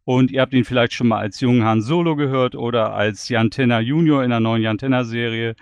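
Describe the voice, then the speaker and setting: A man's voice: mid-range voice, fairly even intonation, a little fast, clean tone, dry recording, dull audio. A man hosting a podcast interview, moderating in a friendly, conversational way.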